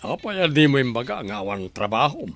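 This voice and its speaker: Actor for a teasing young husband abroad; animated, mid-pitched voice. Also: male voice, normal speed. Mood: exasperated. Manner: lively, teasing. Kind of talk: amateur radio drama acting.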